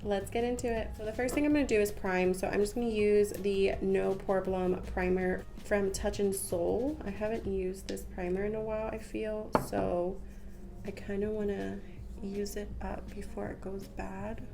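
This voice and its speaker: A young woman talks through her makeup routine in a rambling, chatty way, full of "um" and "like". Her voice is a little congested from a cold and sits at a middle pitch. She is recorded close to the camera in a small room at home, with faint music playing underneath. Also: flat delivery, moderate pace, creaky, mellow. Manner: chatty, rambling, with many "um" and "like" fillers. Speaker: beauty vlogger. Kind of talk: casual makeup tutorial chat. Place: small room at home, patterned wallpaper behind. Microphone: camera at close range, head and shoulders.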